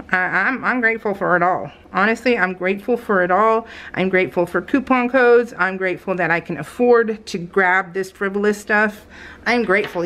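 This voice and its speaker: An American woman with a mid-range voice chats casually while she works on crafts. She rambles through everyday stories, circling back and voicing little quoted exchanges in an animated way. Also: mid-range voice, slight background noise. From California, United States. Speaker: craft vlogger.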